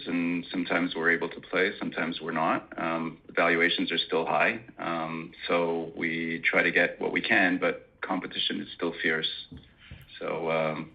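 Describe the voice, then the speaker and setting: A man answering as an executive on an earnings call in a hesitant, pausing way. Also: low-pitched, gravelly. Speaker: company executive answering questions. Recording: muffled conference call audio.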